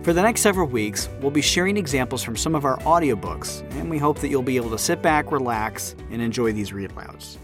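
A man's voice: mid-range voice, lively intonation, fairly quick, few pauses, over music. A man reading a scripted podcast introduction as its host.

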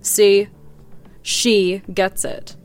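A woman's voice: medium pitch, flat delivery, extremely slow. An actress playing a cynical, blunt woman in an audio drama, dry, curt and unimpressed. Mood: grumbling. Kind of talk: naturalistic audio drama acting.

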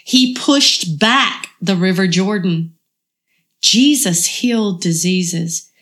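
Slow, froggy-voiced woman with a cold. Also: mid-range voice, a bit bright. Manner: earnest, encouraging. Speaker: podcast host. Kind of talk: devotional podcast message.

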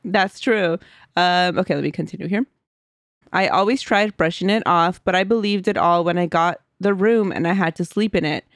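A woman's voice: fairly low pitch, normal speed, no room sound, studio quality. A woman co-hosting a podcast, chatting casually, laughing often and reading a listener's story aloud. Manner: giggly, chatty, reads aloud with asides. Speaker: podcast co-host.

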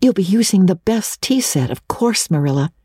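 A woman reading aloud and voicing an eager, dramatic orphan girl, high and tearful. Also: lively intonation, moderate pace, warm tone, husky, no room sound, studio quality.

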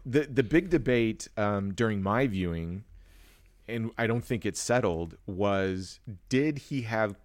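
A man with a low-pitched voice hosts a casual movie discussion. He rambles as he thinks aloud, and the recording is dry with very little room sound. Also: animated, slow, dry recording. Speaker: movie podcast host. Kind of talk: casual chat.

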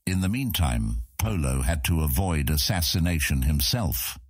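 A man reads scripted fiction in a very low voice with a husky grain. His intonation moves freely as he tells the story, and the sound is close and dry. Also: animated, moderate pace, no echo. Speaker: audiobook narrator.

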